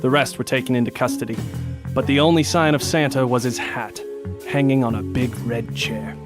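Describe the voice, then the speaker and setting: A man playing a determined, hard-boiled police detective in a noir radio drama, narrating and speaking in a terse, deadpan way. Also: medium pitch, moderate pace, close to an everyday voice. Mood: grim, determined. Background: music.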